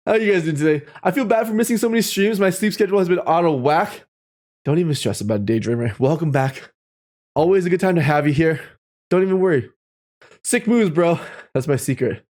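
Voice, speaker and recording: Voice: mid-range voice, a little fast, long pauses, darkish tone. A livestream host chatting casually to viewers in a playful, exclamatory way, often repeating phrases for effect.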